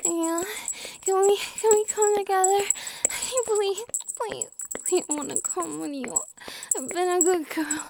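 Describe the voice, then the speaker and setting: Very high-pitched, slow whispering woman. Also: slight background noise. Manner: soft whispering, breathy sighs and gasps; pleading, submissive delivery. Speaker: audio roleplay performer. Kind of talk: intimate adult audio roleplay.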